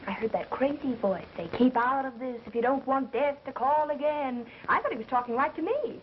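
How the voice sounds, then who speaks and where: A sharp-tongued skeptic in an old mystery picture. She snaps out sarcastic wisecracks. The soundtrack is muffled with a slight hiss. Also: high voice, expressive, a little fast. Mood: rattled, defensive. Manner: snappy wisecracking. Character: feisty, sarcastic, skeptical 1930s woman. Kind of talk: 1930s mystery film acting. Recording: muffled, hissy 1930s film soundtrack.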